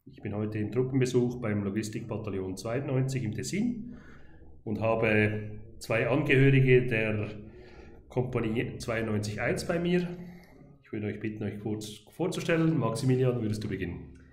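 A man hosting a podcast, asking brisk questions with fillers. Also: lowish voice, reverberant. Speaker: podcast host. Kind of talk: podcast interview.

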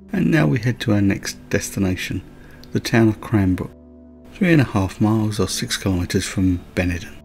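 A man's voice: on the low side, vocal fry, darkish tone. A man narrating a countryside road trip as a voice-over, with light chatty asides. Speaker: travel vlogger. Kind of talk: scripted travel narration.

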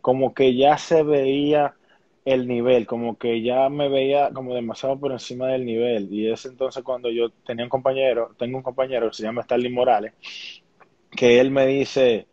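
A young man with a Dominican accent, speaking calmly and measuredly as a baseball player guest in an interview, often restarting his sentences. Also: medium pitch, no room sound. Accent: Dominican Spanish. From Dominican Republic. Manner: calm, measured, with many restarts. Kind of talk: calm conversational interview. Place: small room indoors at home. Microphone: laptop webcam, video call. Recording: dull lo-fi video call audio.

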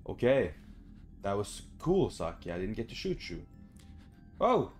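A young man with a lowish voice does casual let's play commentary. He is sweary and lively in pitch, muttering to himself on a close mic over faint music. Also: measured pace. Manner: sweary, talking to himself, reactive. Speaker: gaming YouTuber. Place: home room, indoors.

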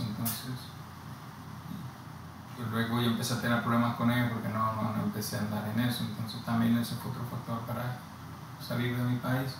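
A man in his thirties from Honduras, speaking with a Honduran Spanish accent, giving a personal testimony slowly and haltingly, with many restarts. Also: medium pitch. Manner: slow, halting, with frequent restarts and repeated words. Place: plain indoor room against a bare wall. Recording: very echoey room, distant mic, audible hiss.

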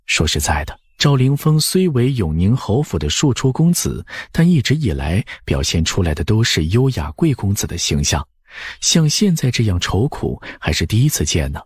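Male audiobook narrator, very raspy low register, lively intonation. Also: normal speed, no room sound. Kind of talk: scripted novel narration.

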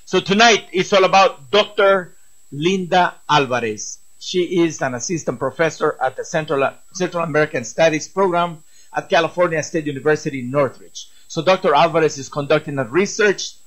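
A man with a slightly raised pitch hosts a community radio show. He gives enthusiastic, promotional announcements and introduces his guest in a warm, announcer's cadence.

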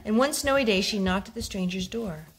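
A woman reading a picture book aloud at home, expressive and gentle, as a host talking to small children. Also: mid-range voice, brisk. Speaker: storytime host, reader to young children. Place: home living room with wooden floor. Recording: home video with clear background noise.

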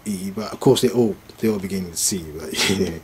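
A man's voice: low voice, brisk. A man giving a casual, unscripted product demonstration in a hesitant, mumbling way. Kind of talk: casual unscripted tutorial narration. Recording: faint background noise.

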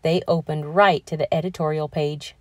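A woman reading a children's picture book aloud in an expressive, deliberate storytelling manner with emphatic stresses. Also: sits low, no echo, clean studio sound.

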